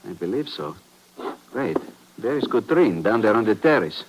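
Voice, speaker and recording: Low-voiced, formal spy's sidekick. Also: male voice, big pitch swings, moderate pace, low-quality recording. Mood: alert, concerned. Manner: precise, formal phrasing. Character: spy's male sidekick, formal, cautious, questioning partner. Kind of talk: 1950s radio drama acting. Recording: vintage radio recording, background murmur.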